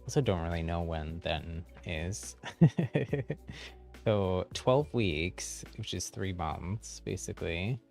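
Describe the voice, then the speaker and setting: Male game streamer, lowish voice with vocal fry, casual halting chat, faint music behind. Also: lively intonation, a little mellow. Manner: halting, thinking aloud. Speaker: game livestreamer. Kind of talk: casual live gaming chat.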